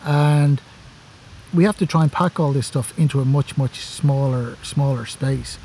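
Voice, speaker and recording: An Irish man talks eagerly and casually, his voice mellow and soft-edged. He often repeats words as he gathers his thoughts. A faint outdoor ambience sits behind him. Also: mid-range voice, a little slow, slight background noise. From Ireland. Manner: enthusiastic, repeats words while thinking. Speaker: guest, forestry worker. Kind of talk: casual podcast conversation. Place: outdoors among camping gear.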